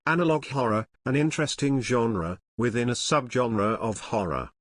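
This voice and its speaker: A man's voice: medium pitch, moderate pace, a bit bright, dry recording. A man narrating a scripted explainer as a video narrator.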